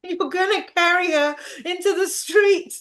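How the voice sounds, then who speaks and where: A performer playing a pleading, sorrowful young boy in a stage drama, speaking in simple, halting phrases. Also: very high-pitched, fairly bright tone. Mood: anxious, sad.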